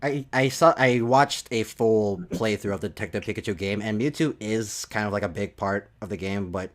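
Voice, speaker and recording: A man with a fairly low voice talks casually, as on a movie podcast. His delivery is halting, and he often doubles back to rephrase what he is saying.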